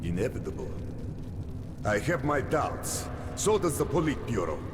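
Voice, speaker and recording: An actor playing a volatile, doubting middle-aged communist leader, brooding and blustering with indignation in a video game. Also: male voice, mid-range voice, very animated, normal speed, natural voice. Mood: doubtful, grudging. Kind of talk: video game cutscene acting. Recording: reverberant hall, clear background noise.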